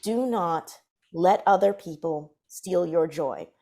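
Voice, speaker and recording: A woman's voice: fairly low pitch, lively intonation, slow, warm tone. A woman speaking earnestly and emphatically as a panelist, giving advice in a discussion. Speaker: panelist, fiction podcast creator.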